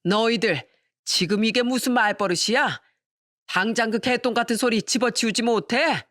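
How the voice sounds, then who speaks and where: A woman's voice: on the high side, moderate pace, a bit bright, no echo, clean studio sound, voice pushed up, lightly acted. A woman voicing a furious elderly mother-in-law who scolds her sons emphatically in a dramatized family story. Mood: furious, scolding.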